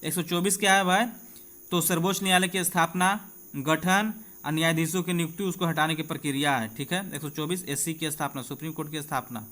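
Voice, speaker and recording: Higher-voiced Bihari man teaching. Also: fairly even intonation, fast talker, sharp tone. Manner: conversational, addresses students directly with repeated check-ins. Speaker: exam coaching teacher. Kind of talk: informal exam-prep lesson, reading out quiz questions and explaining answers.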